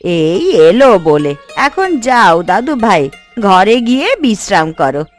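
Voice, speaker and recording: A woman's voice: mid-range voice, sing-song, slow, soft background music. A woman voicing an elderly, anxious, hospitable village grandmother in a Bengali cartoon story. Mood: welcoming.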